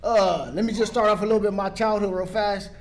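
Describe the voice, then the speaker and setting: A man's voice: high voice, steady tone, quick pace, a little mellow. A young man from San Pedro, California, telling his recovery story at a meeting in a casual, rambling way with many restarts.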